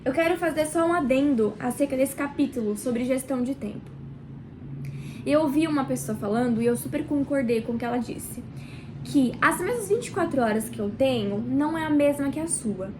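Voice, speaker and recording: A woman with a Brazilian Portuguese accent hosts a book club video in her small kitchen, chatting warmly and directly to the viewer, nasal and stuffed up from a cold. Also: sits high, lively intonation, background noise. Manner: warm, chatty, addresses viewer directly with pauses. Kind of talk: casual devotional reading vlog.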